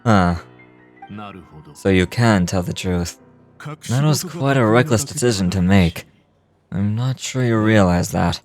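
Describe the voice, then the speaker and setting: Man playing a low, curt, aloof fighter. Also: unhurried, long pauses, faint music behind, close to an everyday voice. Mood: cool, disapproving. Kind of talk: scripted video game dialogue.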